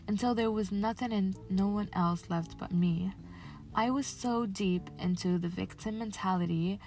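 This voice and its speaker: A woman's voice: mid-range voice, a little mellow. A woman, hosting a scripted motivational podcast in an earnest, encouraging way. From Casablanca, Morocco. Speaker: podcast host.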